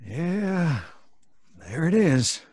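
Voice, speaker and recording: An actor playing a simple, stubborn, spooked old country man in an old-time radio drama, folksy and halting. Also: a little high, very animated, a little mellow, dry recording, clean studio sound, full character voice. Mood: spooked, uneasy. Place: home recording, live remote video call.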